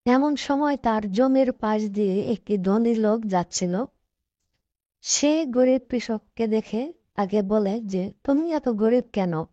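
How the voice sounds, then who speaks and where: Mellow woman narrating a cartoon story. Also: fairly high pitch, takes it slowly, no echo. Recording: dull, dry lo-fi track.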